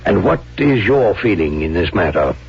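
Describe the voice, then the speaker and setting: A man acting a grave, careworn wartime President in a 1970s radio drama, deliberate and probing in a formal way. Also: low-pitched, sing-song, vocal fry, slightly rough, exaggerated voice. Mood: grave, questioning. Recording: muffled vintage radio broadcast.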